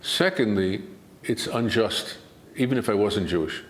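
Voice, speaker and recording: An older man with a low voice, speaking as an interview guest. He answers in a measured way and presses his arguments home with emphasis. The room gives his voice a clear echo. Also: expressive, a little hiss or hum. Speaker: guest expert.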